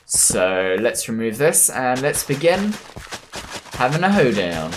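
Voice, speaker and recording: A man with a hoarse voice from a cold, easygoing and punny as he plans his moves aloud while commentating a game. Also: medium pitch, sing-song, slow pace, a little hiss or hum. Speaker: gameplay commentator. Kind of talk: let's play commentary.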